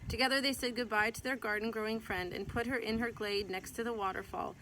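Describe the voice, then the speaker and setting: Woman reading aloud over loud background noise. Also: a little high, fairly even intonation, a little fast, rough recording. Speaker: teacher reading aloud to young children. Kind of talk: picture-book read-aloud.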